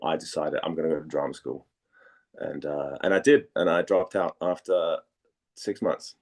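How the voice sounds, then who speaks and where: A low-pitched male voice from Denmark in relaxed conversation as an interview guest. He talks informally, feeling his way through sentences in a hesitant, self-deprecating way. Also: moderate pace, vocal fry. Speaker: interview guest, voice actor and former model.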